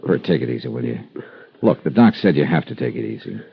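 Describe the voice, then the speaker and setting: An actor playing a wry, tough but caring insurance investigator in a 1950s radio detective drama, with a clipped, world-weary manner. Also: male voice, rumbling low, big pitch swings, quick pace, gravelly, husky, dry recording, heavily acted. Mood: concerned. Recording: muffled vintage radio recording.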